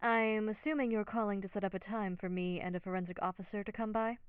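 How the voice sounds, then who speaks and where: Calm lady detective, smooth, polite, businesslike, tinny phone filter, audio drama. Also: medium pitch, fast talker, no echo. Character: calm, courteous woman police detective on the phone. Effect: telephone filter. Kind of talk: naturalistic audio drama acting. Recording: thin, band-limited phone line.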